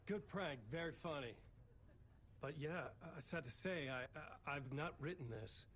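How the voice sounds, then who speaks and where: An actor playing a bewildered, uneasy novelist on a talk show in a video game, speaking hesitantly and naturally. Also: male voice, fairly high pitch, normal speed, a bit bright, slight background noise, close to an everyday voice. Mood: uneasy disbelief. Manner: hesitant, confused.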